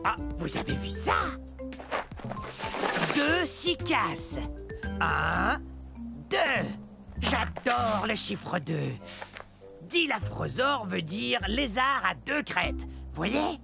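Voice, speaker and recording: A woman voicing an excitable, showy meat-eating dinosaur in a children's educational cartoon, theatrically addressing the viewer. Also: on the high side, extremely slow, vocal fry, pauses often, exaggerated voice. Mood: gleeful, showy. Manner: theatrical, exclaiming, talking to viewer. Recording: music behind the voice.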